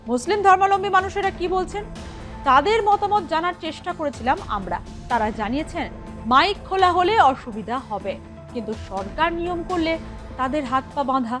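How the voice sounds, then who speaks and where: High-pitched woman reading Bengali news script. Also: expressive, a little fast, over music. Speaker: news reporter voice-over.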